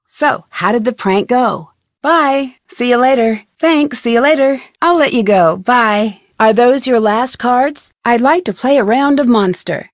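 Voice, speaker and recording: A woman voices a young female sleuth from an adventure video game. Her voice sits fairly high and is recorded close and dry. She speaks politely and clearly, with an inquisitive turn to her scripted lines. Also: measured pace, no room sound, close to an everyday voice. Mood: friendly, curious, then curious, polite. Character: curious, polite young female amateur detective. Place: studio.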